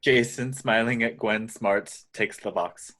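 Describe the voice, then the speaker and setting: A man's voice: mid-range voice, steady tone, slow pace, fairly bright tone. A man reading stage directions as scripted narration in a table read.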